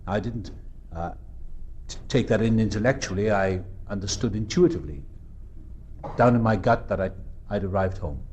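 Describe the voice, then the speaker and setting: A Welsh man in his fifties speaks from a podium microphone in a meeting room. His voice sits low and he talks earnestly and reflectively, pausing and restarting as he works through his thoughts. A faint hiss lies under the recording. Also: a little mellow. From South Wales. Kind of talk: personal recovery talk.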